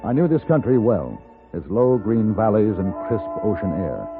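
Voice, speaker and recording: A man playing a suave, dry-witted American gentleman gunfighter in a 1950s radio western, urbane and wry. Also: lowish voice, sing-song, moderate pace, music in the background. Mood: measured. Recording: muffled old radio broadcast recording.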